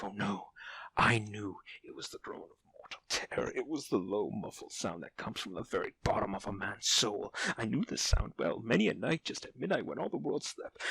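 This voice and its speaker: An actor playing an obsessed, unhinged murderer in an audio drama horror monologue, theatrical, moving between breathy hush and sudden outbursts. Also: male voice, mid-range voice, dry recording, exaggerated voice, poor audio. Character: obsessed, unhinged murderer confessing his careful crime.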